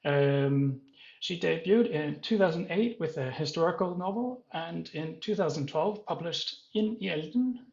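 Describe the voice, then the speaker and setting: A man moderating a panel, introducing the guests in a halting, self-correcting and apologetic way. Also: a little high, expressive, gravelly, a little mellow, reverberant, highs cut off.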